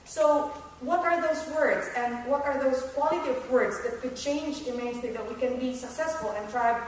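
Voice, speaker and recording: Filipino woman speaking in an echoey hall. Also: high-pitched. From Philippines. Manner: earnest, halting, many fillers. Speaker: teacher presenting a summer science program. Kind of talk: conference panel talk. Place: conference room. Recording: echoey hall, distant mic, faint noise.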